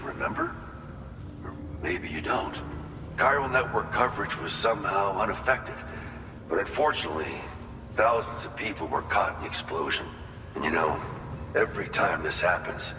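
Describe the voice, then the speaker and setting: A man's voice: on the low side, echoey room, big-room sound, noisy background, lightly acted. An actor playing an earnest, wistful male colonist in a video game, talking in a sincere, conversational and folksy way. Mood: somber. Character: earnest, wistful male colonist grieving his lost brother. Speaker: game character briefing the player. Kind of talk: cinematic video game dialogue.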